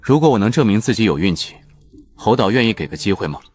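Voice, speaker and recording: Husky, low-voiced self-assured young man played by an actor. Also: sing-song, fast talker, a little mellow. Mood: polite, confident. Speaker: voice actor in an animated web-fiction drama. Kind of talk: dramatic character dialogue.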